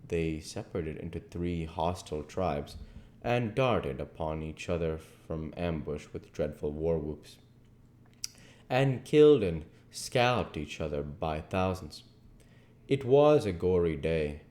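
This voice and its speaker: A man narrating a classic storybook as a reader, in measured phrases. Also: sits low, animated, darkish tone. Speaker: audiobook reader and channel host.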